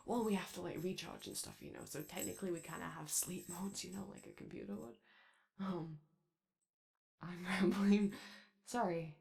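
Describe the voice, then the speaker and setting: A woman's voice: on the low side, moderate pace, creaky, darkish tone. A woman voicing an anxious, kind-hearted daycare robot in a hesitant, rambling, apologetic ASMR roleplay. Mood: shy, flustered. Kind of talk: ASMR character roleplay, soft conversational.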